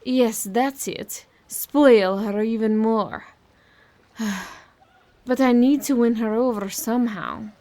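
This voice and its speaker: High-voiced, tough, sardonic woman, played by an actress. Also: slow pace, warm tone. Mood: irritated. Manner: coaxing, wry. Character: tough, sardonic Bulgarian woman minding a child, falsely sweet. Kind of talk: audio horror drama acting.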